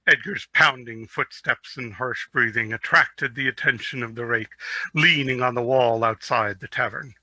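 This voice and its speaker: A man narrates a fantasy tale with theatrical expression. His voice is very bright and forceful, and the sound is close and dry. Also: mid-range voice, moderate pace, no echo, rough recording. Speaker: storyteller. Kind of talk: dramatic reading of a fantasy story.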